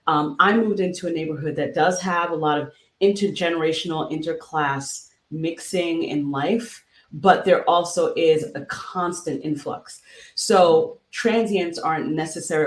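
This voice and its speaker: A podcast co-host and real estate agent talking through practical questions about where to settle, in a thoughtful, conversational way. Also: female voice, sits low, roomy sound. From moved to Boston.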